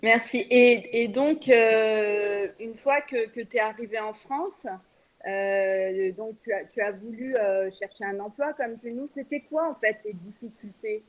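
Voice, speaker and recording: A woman moderating a conference panel, speaking in a halting way as she searches for her phrasing. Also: fairly high pitch, rough recording.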